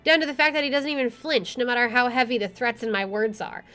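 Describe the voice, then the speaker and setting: A woman's voice: a little high, fast, a bit bright. A woman streamer reading a visual novel aloud and adding casual commentary. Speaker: let's play streamer. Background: faint game music.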